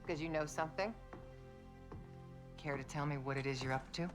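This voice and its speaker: An actress playing a cool, guarded female intelligence superior in a video game, dry and controlled, often hushed. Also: sits low, moderate pace, music in the background, close to an everyday voice. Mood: wary, firm. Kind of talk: cinematic game dialogue.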